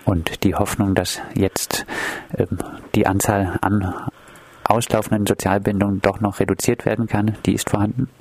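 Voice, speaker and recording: A man's voice: on the low side, level tone, moderate pace, vocal fry, husky, no echo. A man working as a radio interviewer, putting questions to a guest and presenting the programme.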